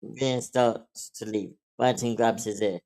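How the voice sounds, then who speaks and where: A man's voice: fairly low pitch, fairly even intonation, takes it slowly, creaky, a little mellow. A man reading stage directions as scripted narration at a table read.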